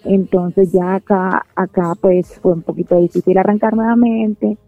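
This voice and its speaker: A woman's voice: medium pitch, flat delivery, unhurried, no echo, faint music behind. A Venezuelan woman who sings in a band, talking in a casual radio interview, hesitant with many fillers and restarts. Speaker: singer of a band, guest. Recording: tinny phone-like line.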